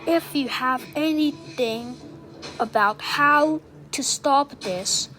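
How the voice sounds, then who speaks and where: A woman's voice: high-pitched, takes it slowly. A woman playing a grave president who reads emergency national alert updates in formal announcer phrasing. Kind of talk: scripted emergency alert narration. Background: loud music.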